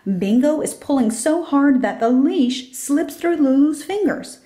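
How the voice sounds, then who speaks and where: A woman reads a children's picture book aloud. Her voice sits fairly high and has a soft, mellow tone suited to story narration. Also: lively intonation, measured pace. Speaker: storybook reader.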